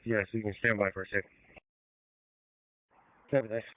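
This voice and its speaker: A man's voice: on the low side, flat delivery, normal speed, dry recording. A man giving brief, directive instructions in police radio traffic over a two-way radio. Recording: noisy phone-like scanner radio with clicks.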